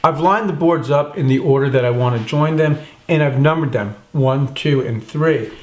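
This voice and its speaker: Calm man explaining woodworking, echoey shop. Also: on the high side, normal speed, a little hiss or hum. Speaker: woodworking channel host. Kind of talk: instructional workshop narration. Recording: echoey workshop room.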